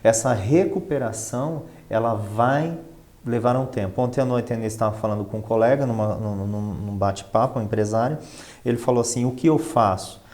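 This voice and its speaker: A man's voice: mid-range voice, brisk, darkish tone. A Brazilian man speaking conversationally as an expert guest, explaining things with emphatic repetition and rhetorical questions. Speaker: interview guest, finance professional and professor.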